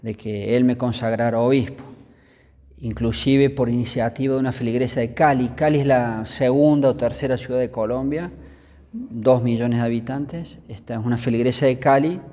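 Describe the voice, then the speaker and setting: Male interviewee, fifties, Argentine priest. Soft-edged, mellow tone, measured and pausing delivery, reverberant room. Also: medium pitch, fairly even intonation. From Córdoba, Argentina. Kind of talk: conversational storytelling in an interview. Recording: long reverberant hall.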